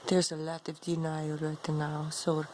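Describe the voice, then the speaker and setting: A woman playing an ancient female vampire demon in an improvised role play, speaking in a haughty, teasing, seductive way with a gravelly voice. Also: completely flat delivery, a little mellow, a little hiss or hum. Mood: smug, teasing. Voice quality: gravelly, low, creaky. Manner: slow, haughty, teasing, calls listener darling. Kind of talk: improvised in-character role play monologue to viewer.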